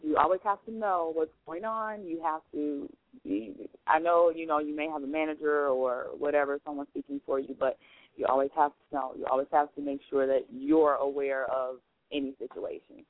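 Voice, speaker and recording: A woman's voice: low voice, fairly even intonation, moderate pace, no room sound. A woman singer answering interview questions, easygoing and a bit hesitant as she searches for words. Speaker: guest singer. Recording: tinny phone-line sound, faint music behind.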